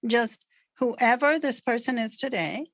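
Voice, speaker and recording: A woman guest on a podcast, explaining ideas in an animated way and often checking in with "right?" and "okay.". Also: a little high, measured pace, no room sound, studio quality. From North America. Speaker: guest expert on patterns.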